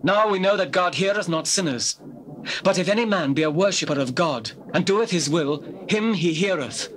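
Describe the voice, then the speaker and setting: An earnest, defiant beggar in a Bible audio drama. The voice is fairly high and plain-spoken, on old cassette with faint noise. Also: male voice, animated, normal speed, a bit bright. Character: formerly blind beggar man, earnest and defiant. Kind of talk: dramatized scripture reading, stage-like acting. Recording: noisy old cassette tape recording.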